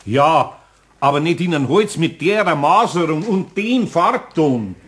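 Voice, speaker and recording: A man's voice: on the high side, expressive, slow, a bit bright. A man playing a good-natured, grumbly older master carpenter with a Bavarian accent in a children's radio play. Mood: exasperated. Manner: homely, plain-spoken, grumbling.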